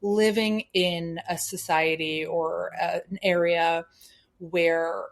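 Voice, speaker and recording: A woman's voice: lowish voice, level tone, slow pace. A young adult American woman, a podcast guest, explaining things thoughtfully with some fillers. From United States. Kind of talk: conversational interview.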